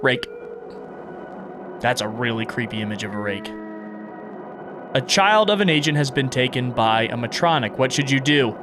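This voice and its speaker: Male streamer for casual playthrough commentary: lively, swooping pitch, noisy background. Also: moderate pace, pauses often. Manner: reads prompts aloud and reacts, thinking out loud. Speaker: gaming content creator and streamer.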